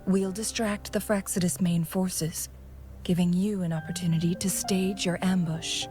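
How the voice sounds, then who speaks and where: A shrewd, composed female strategist in a video game, acted by a woman. Her voice sits fairly low and she speaks politely, with clear music behind her. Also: fairly even intonation, normal speed, lightly acted. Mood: thoughtful, decisive.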